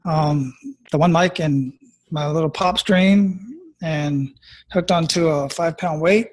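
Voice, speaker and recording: Easygoing middle-aged man, fairly high voice. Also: takes it slowly, rough recording. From Phoenix, Arizona. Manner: easygoing, agreeable, with frequent short acknowledgements. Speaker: podcast co-host. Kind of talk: casual chat.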